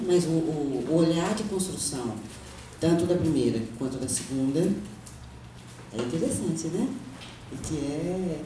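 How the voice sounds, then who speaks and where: A woman with a low, mellow voice asks long, hesitant questions, repeating words and leaning on fillers. She sounds far from the mic in a very echoey room. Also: a little hiss or hum. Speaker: moderator asking questions. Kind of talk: panel talk.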